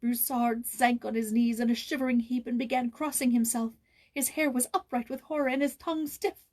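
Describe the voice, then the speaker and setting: A woman narrating a storybook in a theatrical, expressive way, with a husky voice. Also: a little high, brisk. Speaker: audiobook reader, voice actor. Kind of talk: dramatic scripted storybook narration.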